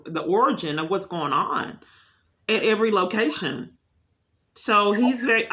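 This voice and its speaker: A woman's voice: mid-range voice, bright, forceful tone. A woman caller speaking unscripted in an insistent, long-winded complaint, often repeating herself.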